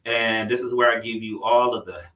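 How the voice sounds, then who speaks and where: Thirties male podcast host with a bright, punchy voice, dishing gossip in casual slang; recorded in a roomy studio away from the mic. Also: mid-range voice, fairly even intonation, normal speed, distant mic. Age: 30s. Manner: playful, slangy storyteller. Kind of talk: casual chat and celebrity gossip segment.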